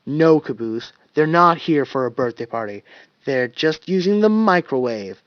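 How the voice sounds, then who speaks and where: A man's voice: medium pitch, moderate pace, no echo, lo-fi sound, close to an everyday voice. A man voicing a bored, lazy soldier who talks in a dismissive, irritable way. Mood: irritated.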